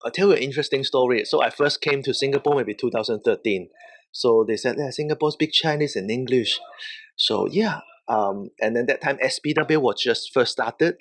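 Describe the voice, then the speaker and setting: Hong kong guy, cantonese native speaking accented english, telling wrestling-guest stories real casual, medium pitch. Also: moderate pace. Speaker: professional wrestler, interview guest. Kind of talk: casual storytelling.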